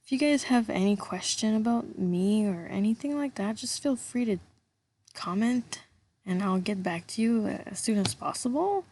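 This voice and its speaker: Young woman in her 20s with a French Canadian accent, French native speaker. Casual, self-deprecating voice-over on a laptop mic, middle pitch. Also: animated, normal speed, darkish tone, breathy edge. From Montreal, Canada. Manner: chatty, self-deprecating, hesitant fillers. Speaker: YouTuber. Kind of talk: casual game build voice-over. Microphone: laptop built-in mic.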